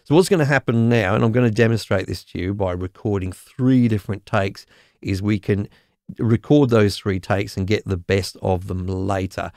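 A man with a slightly croaky voice explains music software in a relaxed, chatty way, often checking in with "okay?". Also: fairly low pitch, normal speed, no room sound, clean studio sound. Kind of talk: screen-recorded software tutorial.

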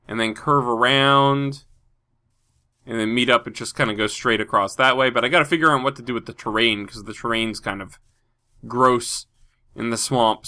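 Male host, congested from a cold, bright tone, loose thinking-aloud gameplay commentary full of "uh". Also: medium pitch. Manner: rambling, thinks aloud with many fillers. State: stuffy from a cold. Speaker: Minecraft video host.

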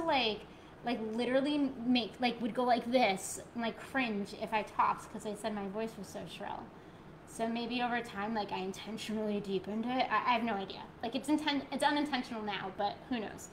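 A woman in her thirties teaching a live yoga class from her living room on a laptop mic, moving from soft guided cues to chatty talk with viewers. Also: a little high, a little hiss or hum, poor audio. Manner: soft, slow guided cues, then bubbly rambling chat. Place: living room at home, indoors. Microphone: laptop mic, webcam framing.

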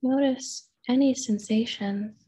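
A woman therapist leading a guided meditation in a gentle, unhurried manner, with pauses and open questions. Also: on the high side, slightly rough, rough recording.

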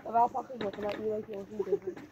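A woman's voice: fairly high pitch, big pitch swings, fast. A young woman joking and teasing her friends at a farm. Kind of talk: joking casual chat. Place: small farm outdoors. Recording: muffled phone audio with outdoor noise.

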